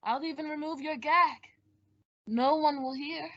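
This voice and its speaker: A woman's voice: high voice, measured pace, sharp tone, exaggerated voice. A woman acting a sly villainous man who poses as a polite helper in a radio thriller, with theatrical delivery. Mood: gloating menace. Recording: dull lo-fi audio.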